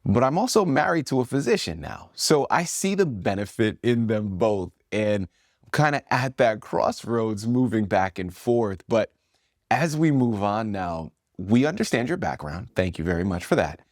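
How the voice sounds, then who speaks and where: Animated male talk show host. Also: fairly low pitch, normal speed, no room sound, studio quality. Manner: animated, affirming, repeats himself. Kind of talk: enthusiastic interview chat.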